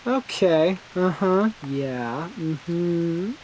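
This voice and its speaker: A man's voice: on the high side, animated, very slow, a little mellow, a little hiss or hum, rough recording. An actor playing an eccentric, theatrical young man who loves horror, in a video game. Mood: teasing. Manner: theatrical, teasing, grandiose. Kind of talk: English video game character acting.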